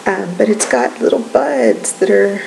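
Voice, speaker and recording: A woman's voice: mid-range voice, sing-song, a little slow, vocal fry, noisy background. A woman in her twenties chatting casually in a home vlog, rambling with lots of ums and little laughs. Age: 20s. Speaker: vlogger.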